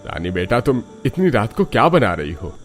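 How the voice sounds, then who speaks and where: A man playing a plain-spoken, caring older father-in-law in a cartoon story, fatherly and measured. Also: on the low side, very animated, faint music behind.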